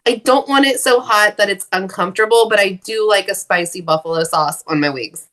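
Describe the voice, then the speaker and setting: A woman's voice: mid-range voice, fairly quick, a bit bright. A young woman co-hosting a casual chat, frank and playful, who swears casually. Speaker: co-host.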